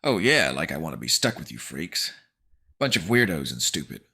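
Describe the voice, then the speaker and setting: A man voicing a cowardly teenage boy who yells in a bratty, frantic way. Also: a bass voice, big pitch swings, moderate pace, vocal fry, slightly rough, exaggerated voice. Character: panicky cowardly teenage boy, whiny and shrill.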